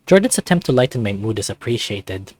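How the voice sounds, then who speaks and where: Lively man reading a visual novel. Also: lowish voice, brisk. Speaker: visual novel let's play reader. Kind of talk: expressive scripted narration and character reading.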